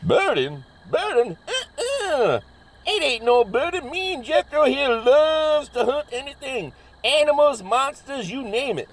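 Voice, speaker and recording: High-pitched, swooping Southern hillbilly hothead. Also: male voice, very animated, a little slow, heavily acted. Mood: excited, boastful. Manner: folksy, blustering, ungrammatical drawl. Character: cocky hot-headed backwoods hillbilly monster-hunting brother. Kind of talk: comedy horror audio drama acting.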